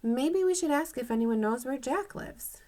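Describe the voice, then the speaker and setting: A woman's voice: sits high, expressive, normal speed, a little mellow, pitched above the performer's own voice. Thoughtful, logical boy sleuth for a children's tale female reader playing him. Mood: sensible. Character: sensible, thoughtful young boy detective in a storybook.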